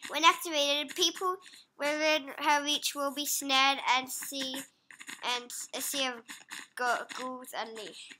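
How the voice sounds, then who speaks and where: A child gamer, sniffly from a runny nose, giving excitable casual commentary while playing. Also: female voice, high-pitched, slow, poor audio. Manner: excitable, squeals and groans at the game. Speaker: gameplay commentator. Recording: loud background noise.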